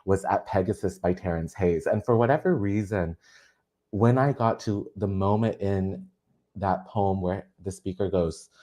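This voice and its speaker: Guest poet, Vietnamese first language, low register, earnest, quick to laugh. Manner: earnest, animated, laughs often. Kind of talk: reflective conversation on craft.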